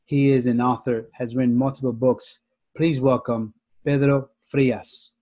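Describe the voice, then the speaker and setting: A man hosts an interview podcast with a measured, polite delivery. His tone is dark and relaxed, his intonation fairly level, and the sound is dry with little room on it. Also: medium pitch, dry recording. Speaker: podcast host. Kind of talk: interview introduction and questions. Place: indoor room, on a video call.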